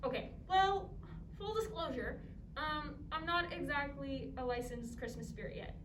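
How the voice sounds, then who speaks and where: A woman's voice: high-pitched, normal speed, natural voice. A female drama student playing an eager, flustered, bumbling Christmas spirit in training in a staged reading. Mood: flustered, defensive. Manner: earnest, flustered. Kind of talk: staged reader's theatre performance. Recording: distant echoey noisy live stage recording.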